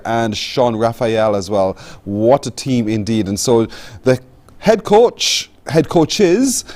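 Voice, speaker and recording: A man's voice: medium pitch, darkish tone. A man hosting a live morning broadcast interview. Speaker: morning TV show host.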